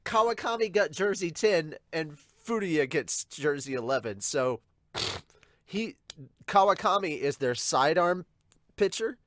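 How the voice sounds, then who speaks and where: A man hosting a casual manga reaction, talking enthusiastically in a halting, digressive way. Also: on the high side, animated, a bit bright, no room sound, studio quality. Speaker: podcast host.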